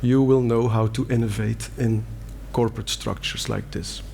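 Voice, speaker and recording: Brief: middle-aged Belgian man, Dutch-accented, mid-pitched, earnest conference speaker, slight hiss behind. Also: fairly even intonation, moderate pace, vocal fry, darkish tone. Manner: earnest, plain wording with fillers and restarts. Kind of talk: conference talk. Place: conference hall.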